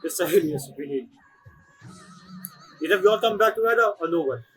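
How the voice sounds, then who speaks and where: A man's voice: high voice, animated, fairly quick, fairly bright tone, husky, soft background music. A man streaming a video game, giving casual, informal commentary and reacting aloud.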